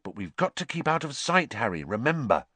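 A man voicing an anxious young girl in an audiobook, cautious, bossy, hushed and urgent. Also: mid-range voice, very animated, fairly quick, slightly rough, dry recording, heavily acted.

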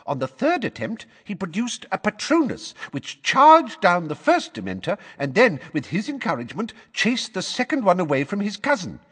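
A woman's voice: medium pitch, wildly swooping pitch, moderate pace, few pauses, husky, voice pushed up. A man voicing a nervous elderly woman giving testimony. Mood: earnest. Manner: shaky then more confident. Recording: long hall reverb.

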